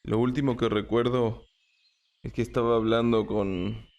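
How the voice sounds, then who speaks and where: A man's voice: mid-range voice, flat delivery, measured pace, darkish tone, close to an everyday voice. A man playing a bewildered, earnest knight in an audiobook, with a questioning manner. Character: puzzled, earnest male knight in rusty armor. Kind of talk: audiobook character acting.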